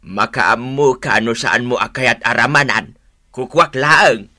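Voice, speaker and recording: A man's voice: mid-range voice, unhurried, sharp tone, dry recording, studio quality. An actor playing a hearty, folksy older father in a radio drama, with a Northern Philippine Ilocano accent. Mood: teasing, proud. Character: hearty older father fond of homemade bread.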